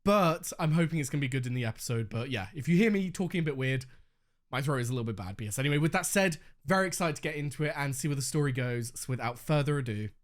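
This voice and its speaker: A young man hosting a reaction video in casual, candid chat, slightly hoarse as he is losing his voice. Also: mid-range voice, lively intonation, quick pace. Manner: chatty, candid, swears freely.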